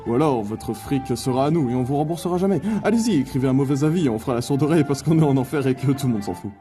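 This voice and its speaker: A man's voice: medium pitch, lively intonation, rapid-fire, mellow, faint music behind, close to an everyday voice. A man voicing a crude, flamboyant demon boss in a cartoon dub, mocking and theatrical. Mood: grandiose. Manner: mocking, theatrical, foul-mouthed. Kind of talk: animated cartoon dubbing.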